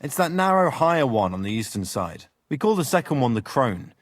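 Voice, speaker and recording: Gruff game castle guard, rough colloquial talk, mid pitch. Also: male voice, very animated, a little fast. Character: weary male castle guard, blunt and rough. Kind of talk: video game voice acting.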